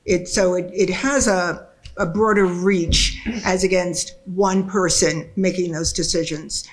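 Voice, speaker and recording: A woman chairing a school board meeting, talking in a measured, halting way with many fillers. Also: on the low side, steady tone, low-quality recording. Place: meeting room.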